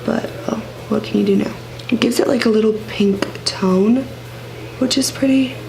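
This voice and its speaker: A woman who is sick and has a raspy voice, chatting casually through a makeup tutorial with lots of fillers and rambling asides. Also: a little high, normal speed, darkish tone, low-quality recording. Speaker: beauty vlogger.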